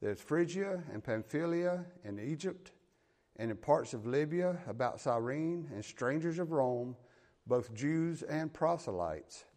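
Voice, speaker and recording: A man's voice: a little high, lively intonation, measured pace, a little mellow. A man with a Southern US accent preaching a sermon, reading scripture aloud and explaining it in a folksy, plain-spoken way. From American South.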